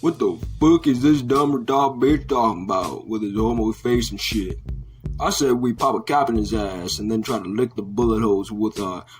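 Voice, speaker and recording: Low, foul-mouthed troll thug man acting. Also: normal speed, soft background music, close to an everyday voice. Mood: menacing. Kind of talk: amateur comic dub acting.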